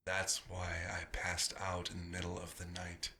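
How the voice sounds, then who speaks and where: A man playing a nostalgic, proud vampire doctor in an ASMR roleplay, musing theatrically and slipping into breathy whispers. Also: sits low, monotone, moderate pace, bright, forceful tone, a little hiss or hum. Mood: suspicious.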